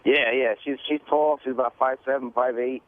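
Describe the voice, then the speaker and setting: A man's voice: medium pitch, steady tone, moderate pace, a little hiss or hum. A man of about forty calling into a radio show by phone, chatting casually in an easygoing but insistent way. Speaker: phone-in caller. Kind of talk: casual chat. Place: calling in by telephone. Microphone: telephone. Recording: narrow tinny phone line on radio.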